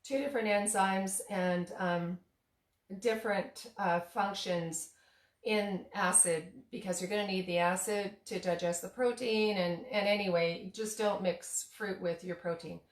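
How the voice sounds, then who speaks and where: A woman talks her way through making lunch in an echoey home kitchen, circling back on herself with ums and restarts. Her voice sits in a middle range and is a touch hoarse. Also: medium pitch, fairly even intonation, measured pace. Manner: rambling, many restarts and fillers; laughs at herself. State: slightly hoarse, losing voice. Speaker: tea shop owner and cooking vlogger. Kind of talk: casual kitchen demo chat.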